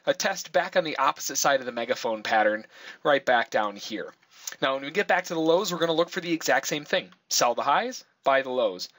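A man's voice: mid-range voice, animated, brisk, a bit bright, dry recording. A man giving casual live commentary as a trading instructor, explaining and thinking aloud as he goes. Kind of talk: casual live trade commentary over charts.

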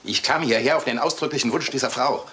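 An actor playing a cornered, sneering crook, indignant and defiant, in a German radio crime drama. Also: male voice, medium pitch, quick pace, natural voice. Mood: accusing. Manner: sharp, indignant.

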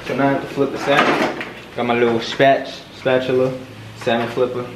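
A man's voice: medium pitch, little pitch movement, fairly quick. A young man co-hosting a home cooking vlog, chatting casually and informally in slangy speech. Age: young adult. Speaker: home cook and vlog co-host. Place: home kitchen, indoors. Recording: echoey kitchen with loud frying noise. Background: frying sizzle and stirring.

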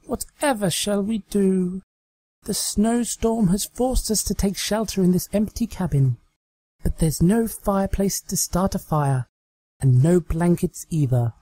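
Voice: medium pitch, lively intonation, measured pace, warm tone, no echo, pitched above the performer's own voice, poor audio. A performer voicing a coy, melodramatic female cartoon pony magician in a fan-fiction reading, with an overly dramatic delivery.

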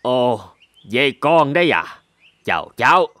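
An actor voicing a stern, weary old sheep elder in an animated fable, firm and condescending toward the young. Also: medium pitch, slow, a little hiss or hum. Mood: amused, dismissive. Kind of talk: Vietnamese animated fable dialogue.

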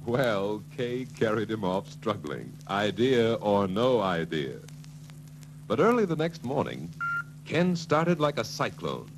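A man's voice: lowish voice, very animated, slow pace, full character voice. A man narrating a 1940s sales training film in a scripted storytelling style. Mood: brisk storytelling. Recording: noisy, humming 1940s film soundtrack.

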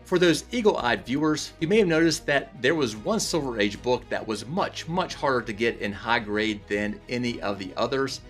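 A man's voice: mid-range voice, expressive, normal speed, hardly any pauses, a bit bright, soft background music. A man in his forties hosting a comic book collecting video, talking through scripted commentary with enthusiastic, playful asides. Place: indoor room with chandelier.

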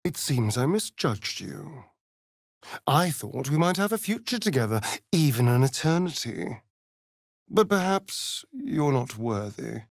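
Theatrical, sardonic vampire rogue, swooping delivery. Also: male voice, mid-range voice, very animated, unhurried, gravelly, dry recording, clean studio sound, exaggerated voice. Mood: resentful. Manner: theatrical, arch, drawling, mocking. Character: flamboyant, sardonic, seductive male vampire rogue. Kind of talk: theatrical video game character acting.

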